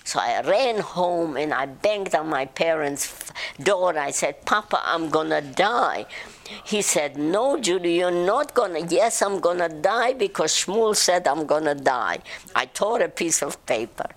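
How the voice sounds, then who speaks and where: An older woman from Czechoslovakia, speaking haltingly in an oral history interview. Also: sits low, expressive. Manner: halting, many restarts and fillers. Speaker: interviewee, survivor recounting childhood.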